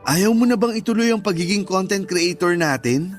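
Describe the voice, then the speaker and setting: High-pitched defensive young Filipino boyfriend. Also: male voice, expressive, normal speed. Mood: defensive, placating. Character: defensive, eager-to-please young boyfriend, a content creator. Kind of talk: radio drama acting. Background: music.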